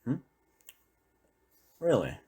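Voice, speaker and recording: A man's voice: drawn-out words, mellow. A man playing a weary former doctor surviving a zombie apocalypse, talking in a reflective, conversational way to a trusted partner in an intimate audio roleplay. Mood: wistful, reflective. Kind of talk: intimate audio roleplay, one-sided dialogue.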